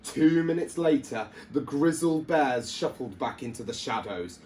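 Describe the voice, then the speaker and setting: A man reads a children's story in a theatrical, emphatic way. His medium-pitched voice swings widely for drama and moves at an unhurried pace. There is faint noise behind him. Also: animated, a little mellow. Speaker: primary school teacher reading storytime. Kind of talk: expressive children's story reading.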